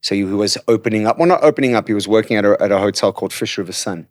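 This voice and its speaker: A young adult man, originally from Portugal, chatting as a podcast guest in a relaxed, easily laughing way. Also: fairly low pitch, racing, no echo. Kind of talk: casual chat. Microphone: studio mic.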